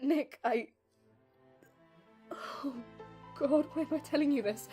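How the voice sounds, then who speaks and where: A woman's voice: sits high, slow, mellow, soft background music. A woman playing a modest, vulnerable young assistant who speaks hesitantly and adoringly in an audio drama. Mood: emotional, faltering. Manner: hesitant, self-effacing.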